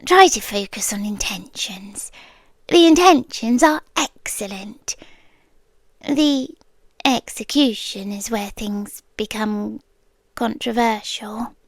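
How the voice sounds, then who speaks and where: A British woman voicing an obsessive romantic kidnapper for ASMR roleplay, speaking softly and often whispering into a close microphone. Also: on the high side, slow pace, dry recording. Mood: calm obsessive. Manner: soft-spoken, often slipping into whispers.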